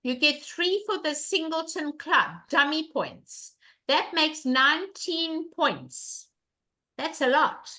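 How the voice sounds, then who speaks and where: An older woman leads an online bridge lesson with a loud voice. Her tone sits high and bright, and she hammers home points and repeats her questions to the class. She is heard through a webcam at home. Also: animated, takes it slowly. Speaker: bridge teacher.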